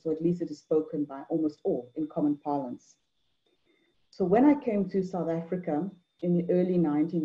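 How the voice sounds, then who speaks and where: A middle-aged woman from Mauritius gives an online talk on a video call, measured, with many fillers and repeated words. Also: low voice. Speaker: anthropologist and poet speaker. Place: indoor room at home. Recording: dull lo-fi video call audio.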